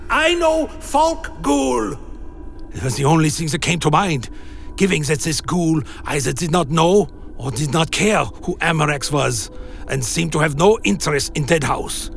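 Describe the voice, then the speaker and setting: Creaky-voiced vampire narrator. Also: male voice, a little high, fairly bright tone, heavily acted. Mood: pleading. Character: anxious vampire recounting his own dark tale. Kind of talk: first-person dramatic narration, radio play.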